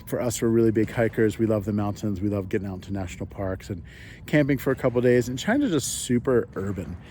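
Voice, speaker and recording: A man's voice: lowish voice, fast talker, dark tone, slight background noise. A man, a father, talking in an easygoing way to the camera from his apartment. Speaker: parent interviewee. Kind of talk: casual talk to camera. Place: apartment in a city.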